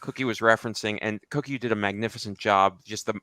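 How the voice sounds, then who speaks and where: A man chatting casually as a show panelist, halting and meandering but enthusiastic. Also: lowish voice, fairly even intonation, pushed and bright, dry recording. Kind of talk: casual chat, comics commentary.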